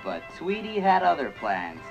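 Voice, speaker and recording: A storybook narrator reading a children's read-along in slow, animated storytelling. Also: medium pitch, gravelly, rough recording. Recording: 1980s read-along record with funky music. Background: funky 80s music track.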